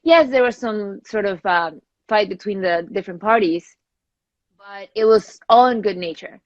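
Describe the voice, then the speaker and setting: A woman's voice: medium pitch, a little fast, no echo, muffled recording. A woman in her twenties from Venezuela, talking in a thoughtful, chatty way as a guest on a live chat. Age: 20s. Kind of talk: casual interview. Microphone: phone on a live stream.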